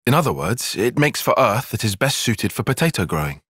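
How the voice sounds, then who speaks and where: Game role: a young British tactician, formal and exact, earnest and careful, with lively intonation at a middling pitch. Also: male voice, brisk, slightly rough, no room sound, studio quality. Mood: measured, formal. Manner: precise, formal, articulate. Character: earnest, cautious, brainy young male soldier-strategist. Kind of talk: video game cutscene voice acting.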